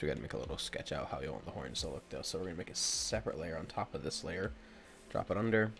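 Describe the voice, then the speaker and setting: A young man with a stuffy nose chatting casually with viewers as he draws, rambling with lots of fillers. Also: low voice. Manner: rambling, filler-heavy, talks to chat. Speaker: art streamer. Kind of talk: casual chat with viewers while drawing. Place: indoors at home.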